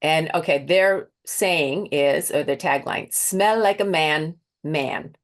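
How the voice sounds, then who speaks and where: A woman's voice: sits low, takes it slowly. Chatty woman with a Boston accent. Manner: chatty, laughs often, restarts mid-sentence. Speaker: interviewer. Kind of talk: casual interview with a quiz.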